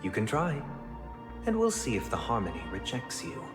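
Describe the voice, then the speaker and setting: An actor playing a stern, immaculate, devout authority figure in a video game, speaking in a formal, measured, coldly courteous way. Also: male voice, mid-range voice, wildly swooping pitch. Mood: solemn, commanding. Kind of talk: dramatic video game voice acting. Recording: echoey hall reverb with music behind.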